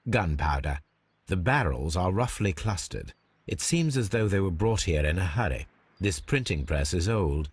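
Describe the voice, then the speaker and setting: An actor playing a brilliant, unflappable Victorian detective in a game, with an English accent and precise, formal, measured delivery. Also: male voice, low-pitched, breathy edge, exaggerated voice. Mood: musing, analytical. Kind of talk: scripted game dialogue and deductive narration.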